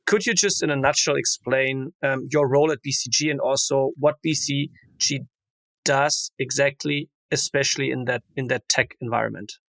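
A man's voice: mid-range voice, fairly even intonation, moderate pace, gravelly, fairly bright tone, dry recording, low-quality recording. A man hosting a podcast, asking long enthusiastic questions full of fillers and restarts. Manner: enthusiastic, rambling, many fillers. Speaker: podcast host. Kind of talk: conversational interview questions.

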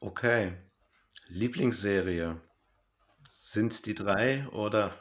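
A man's voice: lowish voice, slow pace. A man hosting a casual podcast interview and chatting with his guest.

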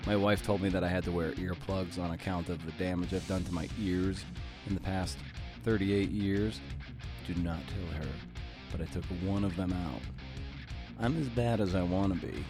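A man's voice: low-pitched, fairly even intonation, moderate pace, music in the background. A middle-aged man hosting a podcast in a conversational, informal way, with wry, playful asides. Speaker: podcast host. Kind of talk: conversational podcast monologue and interviewing.

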